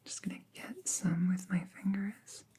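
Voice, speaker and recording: A woman playing a friendly, chatty young apprentice witch in an intimate roleplay audio, musing casually in a soft, husky, breathy voice. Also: lowish voice, steady tone, a little slow, exaggerated voice. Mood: relaxed, focused. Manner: casual, musing, softly breathy, often near a whisper.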